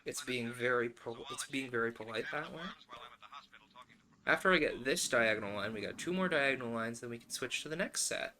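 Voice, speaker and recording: A man with a bright, forward voice talks casually as a livestream host while doing handiwork. He rambles in a wry way and slips in playful asides. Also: medium pitch, a little fast. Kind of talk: casual chat while hand sewing.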